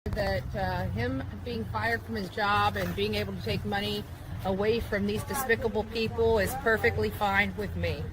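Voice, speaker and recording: A woman's voice: mid-range voice, fairly even intonation, moderate pace, crowd noise, rough recording. A woman speaking defiantly and emphatically, repeating herself for effect.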